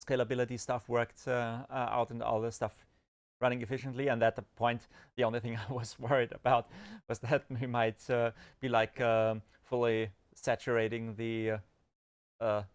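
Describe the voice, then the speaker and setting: A man chatting on a livestream, his voice smooth and clear in an even middle register. He talks through technical details in long, halting runs, full of filler sounds and restarts. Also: medium pitch. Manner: rambling technical talk, many fillers and restarts. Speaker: developer and podcast co-host. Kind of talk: casual livestream chat.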